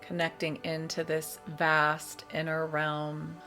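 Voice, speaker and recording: A woman leading a guided meditation, giving soothing, measured instructions with long pauses between phrases. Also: low-pitched, completely flat delivery, bright, forceful tone. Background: meditation music.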